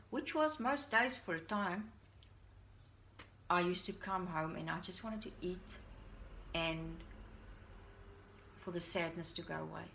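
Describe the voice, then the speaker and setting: A woman's voice: medium pitch, a bit bright, rough recording. A middle-aged woman with a Dutch accent talking earnestly to the camera in her living room as a parent vlogger. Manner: earnest, heartfelt, confiding. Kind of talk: personal talk to camera. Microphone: camera on a stand at a short distance.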